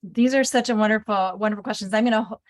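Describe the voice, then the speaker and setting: A woman hosts an online panel as its moderator. Her voice sits at a middle pitch. She speaks casually and effusively, with generous praise. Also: steady tone, quick pace. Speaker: event moderator.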